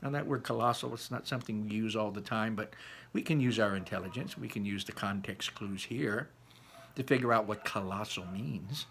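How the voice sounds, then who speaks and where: A man reading a children's book aloud, conversationally pausing to explain and put questions to his listeners. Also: on the low side, gravelly, fairly bright tone, a little hiss or hum. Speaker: read-aloud storyteller explaining words to children. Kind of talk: children's book read-aloud with teaching asides.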